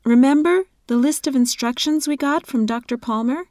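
Woman with higher voice reading exam dialogue. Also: normal speed, a little mellow, dry recording, studio quality. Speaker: student in scripted dialogue.

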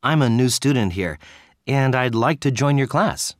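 A man voicing students and a professor in scripted dialogues for a listening exam, with a clear, friendly delivery. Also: mid-range voice, expressive, moderate pace, no echo, clean studio sound.

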